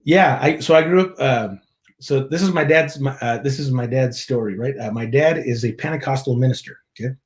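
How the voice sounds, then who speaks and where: A man's voice: mid-range voice, brisk. Chatty man from Mexico, restarts often. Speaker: church worship director, guest. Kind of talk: casual interview chat.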